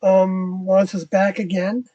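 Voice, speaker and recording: Mellow, husky voice on a muffled livestream. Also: mid-range voice, level tone, takes it slowly. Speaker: livestream host. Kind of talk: casual livestream chat. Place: inside an RV. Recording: muffled, dull livestream audio.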